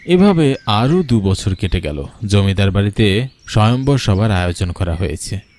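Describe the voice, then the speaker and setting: A man's voice: lowish voice, expressive, moderate pace, darkish tone. A man narrating a scripted folk tale as a storyteller. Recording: music behind the voice.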